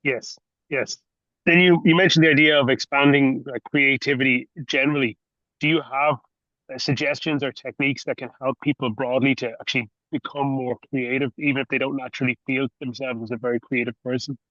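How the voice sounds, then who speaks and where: A man's voice: medium pitch, fairly even intonation, fairly quick, pushed and bright, dry recording, highs cut off. A man from Ireland with an Irish accent, hosting an interview in a conversational style. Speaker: podcast interviewer.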